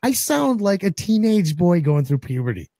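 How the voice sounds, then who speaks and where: Man, forties, co-host, blunt and profane, voice still cracking, mellow tone, dry close sound. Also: high voice, very animated, normal speed, no room sound. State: recovering voice, some cracking. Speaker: podcast co-host. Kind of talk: casual chat.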